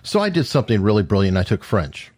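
Male interview host, lowish voice, hesitant casual questions, dry close sound. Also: sing-song, fast talker, darkish tone, no echo. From Tucson, Arizona, USA. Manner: hesitant, restarts questions, laughs lightly. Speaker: podcast host.

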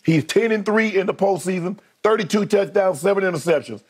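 A man's voice: sits high, a little fast, darkish tone, husky. A man in a sports debate, emphatically listing statistics to make his case and laughing often. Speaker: co-host.

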